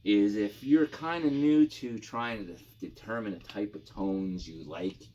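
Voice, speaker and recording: A man's voice: fairly low pitch, measured pace, warm tone, lo-fi sound. A man reviewing guitar gear in a casual, informal and rambling product demo style with some enthusiasm.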